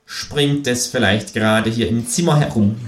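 A man voicing a dry, detached male psychiatrist who speaks in a quiet, flat murmur, read aloud at a live event. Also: mid-range voice, normal speed, close to an everyday voice. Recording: roomy hall with audience.

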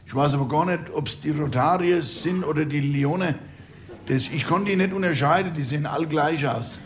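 A man's voice: a little high, normal speed, lightly acted. An older man rambling in a grumbling way, stumbling and repeating himself. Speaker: grumbling old worker figure.

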